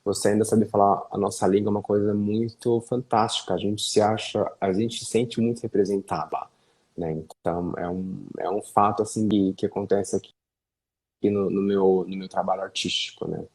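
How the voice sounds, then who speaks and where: A man's voice: fairly low pitch, steady tone, normal speed, a little mellow, breathy edge. A young Brazilian man talking casually as an interview guest, sprinkling his speech with fillers and tag questions over a video call. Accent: Brazilian Portuguese.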